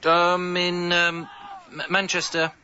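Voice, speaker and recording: A man's voice: high voice, monotone, slow, pushed and bright, lo-fi sound, a little hiss or hum, full character voice. An English man playing a hapless, evasive young father, narrating and talking in a radio comedy with a hesitant, wry manner. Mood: flustered. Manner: hesitant, self-justifying, wry. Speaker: narrator and lead character.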